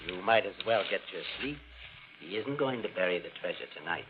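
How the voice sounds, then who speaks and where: An actor playing a sly beachcomber in an old radio drama, taunting his victim in an unhurried, sardonic way. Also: low-pitched, lively intonation, a crowd in the background. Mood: quietly smug. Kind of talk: 1940s-50s radio drama acting. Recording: muffled, noisy old radio recording.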